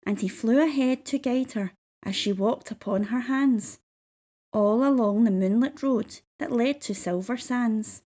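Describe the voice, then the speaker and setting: A woman narrates a rhyming children's picture book. Her voice sits on the high side with a soft, mellow tone, and she keeps a measured, rhythmic delivery. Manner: measured, rhythmic verse reading. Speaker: storybook reader. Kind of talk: children's story read-aloud.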